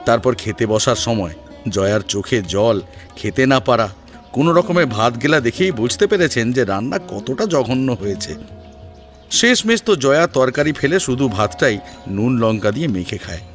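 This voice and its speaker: A man narrating a scripted domestic drama as a storyteller, in an expressive, dramatic style. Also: mid-range voice, normal speed. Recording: music behind the voice.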